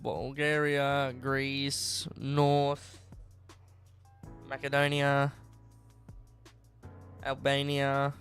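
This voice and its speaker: A man's voice: mid-range voice, completely flat delivery, drawn-out words, long pauses, a bit bright, soft background music. A man with an Australian accent chatting casually and rambling on a live game stream, often trailing off and restarting. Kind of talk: casual live-stream chat while driving.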